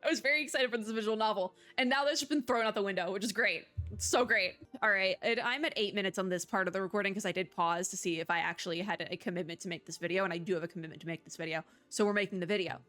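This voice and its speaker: Girl streamer chatting over a game, medium pitched voice, kinda fed up and opinionated, goes off on tangents. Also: bright, forceful tone. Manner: opinionated, exasperated, rambling asides. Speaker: video game streamer, host. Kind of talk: casual gaming commentary while playing a demo.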